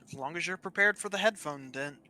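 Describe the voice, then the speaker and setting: A man's voice: on the high side, fairly quick, pushed and bright. A young adult man co-hosting gameplay with casual, playful, informal chat. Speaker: gaming co-host.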